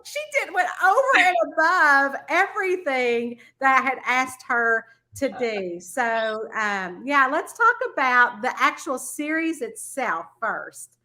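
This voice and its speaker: A woman's voice: high-pitched, expressive, slow, bright, forceful tone. A woman with a Southern US accent hosting a podcast interview, bubbly and gushing, laughing often. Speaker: podcast host. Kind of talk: casual interview chat.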